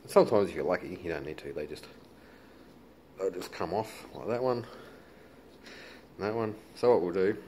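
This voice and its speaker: Male, Australian accent, low register. Laid-back, colloquial DIY demonstrator on a slightly noisy handheld home video. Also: normal speed, long pauses, warm tone. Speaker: hobbyist demonstrator. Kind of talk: casual hands-on how-to commentary.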